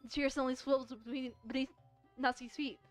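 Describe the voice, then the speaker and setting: A woman's voice: high-pitched, steady tone, fast talker, soft background music. A woman streamer reading a visual novel aloud expressively, mixing dramatic narration with casual commentary and stumbling over words.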